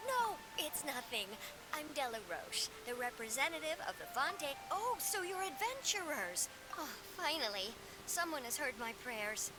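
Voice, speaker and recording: High-voiced, dramatic young official. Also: female voice, sing-song, normal speed, background noise. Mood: earnest, flustered. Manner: theatrical, formal, storytelling. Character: earnest, dramatic young woman, self-important fishing association representative. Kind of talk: animated game dialogue.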